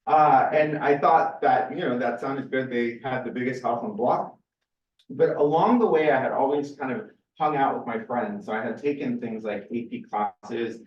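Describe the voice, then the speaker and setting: A man in his forties from California gives an informal seminar talk with personal stories. His voice sits at a middle pitch and comes from some way off in a roomy space. He is conversational, often restarting and correcting himself as he goes. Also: normal speed. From California, USA. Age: 40s. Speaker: research scientist giving a seminar. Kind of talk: informal public lecture, personal storytelling. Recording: roomy, distant, muffled lecture recording.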